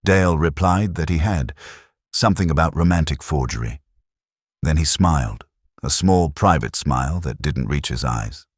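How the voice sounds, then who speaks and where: A man narrating a scripted first-person detective story in a measured, literary, brooding manner. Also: a bass voice, lively intonation, dry recording, studio quality.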